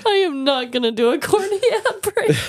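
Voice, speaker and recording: A woman co-hosting a podcast, talking casually in a gentle, supportive, consoling manner. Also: very high-pitched, no echo, studio quality. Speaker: podcast co-host.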